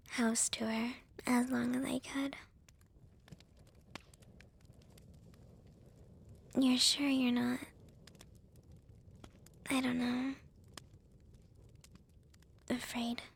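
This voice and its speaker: Woman playing a shy vampire girl, slow breathy whisper. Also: on the high side, slight background noise, heavily acted. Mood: nervous, hesitant. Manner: hesitant, halting, soft-spoken. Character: shy, gentle young female vampire with a crush. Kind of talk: whispered ASMR roleplay.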